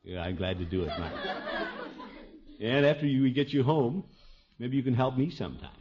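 An actor playing a blustery, kindly middle-aged uncle with a chuckling, avuncular manner in a 1950s radio sitcom. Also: male voice, medium pitch, expressive, normal speed, close to an everyday voice. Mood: jovial, kindly. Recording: noisy, dull 1950s radio recording.

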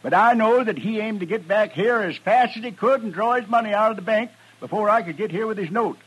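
Radio western: foxy country rancher, raspy higher voice, rustic drawl, mock innocence. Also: male voice, fairly quick, a bit bright, low-quality recording. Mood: self-righteous. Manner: drawling rustic speech, crafty. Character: sly, folksy male rancher, feigning innocence. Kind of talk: old-time radio western acting. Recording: vintage radio drama recording.

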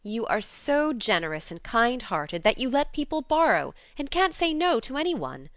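Woman playing a frank, scolding young woman, clear-voiced. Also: a little high, big pitch swings, moderate pace, fairly bright tone, no room sound, clean studio sound, pitched above the performer's own voice. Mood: warm, reproving. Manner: frank, earnest, admonishing. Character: blunt, hearty, tomboyish young woman, scolding fondly. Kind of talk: audiobook character dialogue.

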